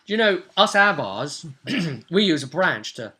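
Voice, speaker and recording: A man's voice: a little high, big pitch swings, slow, bright, forceful tone. A young man with a British accent casually recapping a tabletop role-playing session as its game master. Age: young adult. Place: small cluttered room at home.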